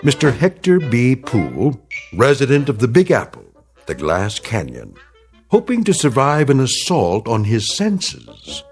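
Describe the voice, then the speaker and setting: A man narrating a radio drama in a measured, dramatic way. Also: medium pitch, huge pitch swings, soft background music.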